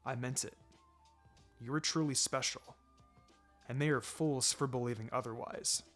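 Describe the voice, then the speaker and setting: A man voicing a calm, firm ninja mentor in a fan fiction reading, encouraging and dryly teasing in a measured, instructive way. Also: mid-range voice, faint music behind, pitched below the performer's own voice, lightly acted. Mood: earnest reassurance. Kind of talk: fan fiction character dialogue.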